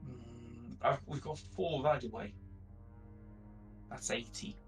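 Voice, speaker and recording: A man's voice: medium pitch, fairly even intonation, slow pace, far from the mic, faint music behind. A man playing a tabletop game, casually tallying up items and bargaining.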